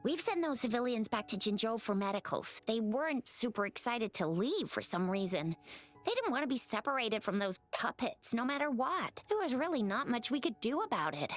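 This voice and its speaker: An actress playing an earnest, eager young patrol officer in a video game, excitable and expressive. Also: female voice, on the high side, moderate pace, faint music behind. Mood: concerned, urgent.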